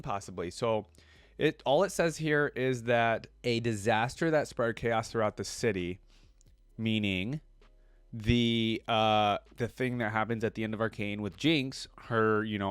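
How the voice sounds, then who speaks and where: Guy with a lowish voice doing a chill reaction vid, reads stuff out then talks it through, super dry close mic. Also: smooth, no echo. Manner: informal, pausing to explain and muse. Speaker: reaction video creator. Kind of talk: reading lore aloud with casual reaction commentary.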